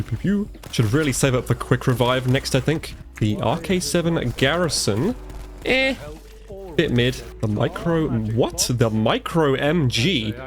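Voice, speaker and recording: Chatty gamer man with a stuffy cold. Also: mid-range voice. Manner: chatty, reacts out loud to the game. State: congested from a cold. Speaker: gaming commentator. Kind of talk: live gameplay commentary.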